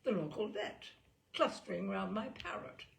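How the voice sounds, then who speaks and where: Lady doing a posh old earl, pompous n jovial, swoopy storybook delivery, bit creaky. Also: medium pitch, animated, moderate pace. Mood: suave. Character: breezy, imperious older English earl, male.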